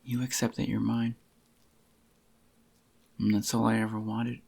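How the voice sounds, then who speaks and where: A man acts out an obsessively devoted boyfriend in an ASMR roleplay. His voice is lowish and thick with creak, groggy and soft-spoken. He keeps an even, narrow melody as he murmurs tender, possessive endearments up close. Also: heavily acted. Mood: devoted, adoring. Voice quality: groggy, gravelly. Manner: tender, slow reassuring endearments to a lover.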